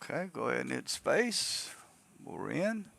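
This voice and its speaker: A man's voice: mid-range voice, big pitch swings, unhurried, gravelly, fairly bright tone, breathy edge. A man giving a casual, unscripted video game tutorial, explaining step by step in a rambling way. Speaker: gamer giving a mod tutorial.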